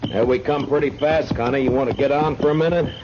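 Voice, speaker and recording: An actor playing a stoic, world-weary frontier marshal in a 1950s radio western, with laconic, measured, dryly menacing delivery. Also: male voice, mid-range voice, steady tone, lightly acted. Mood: calm, probing. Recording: dull, noisy old radio broadcast recording.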